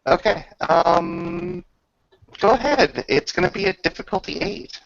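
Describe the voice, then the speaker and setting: Guy game mastering, really fry-heavy voice, halting delivery, dull lo-fi sound. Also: extremely high pitch, wildly swooping pitch, no room sound. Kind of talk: tabletop roleplay narration and casual rules talk.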